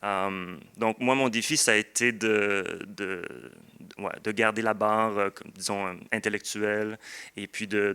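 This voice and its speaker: A man's voice: lowish voice, pushed and bright, clean tone. A man with a Québécois French accent answering thoughtfully in a panel discussion, often searching for words as a literary editor. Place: book fair round table.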